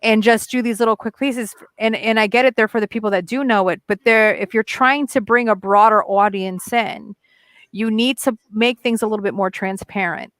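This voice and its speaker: A woman's voice: mid-range voice, steady tone, normal speed, fairly bright tone, dry recording. Chatty female co-host, Portuguese speaker. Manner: chatty, laughs easily, many "like" fillers. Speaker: podcast co-host. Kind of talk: casual chat.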